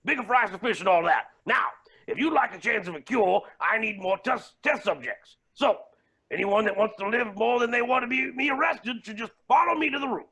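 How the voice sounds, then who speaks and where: A man's voice: sits high, a little fast, sharp tone, slightly rough, exaggerated voice. A man playing a flamboyant, scheming villain in a comedic audio drama, pompous and mock-polite with theatrical condescension. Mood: condescending.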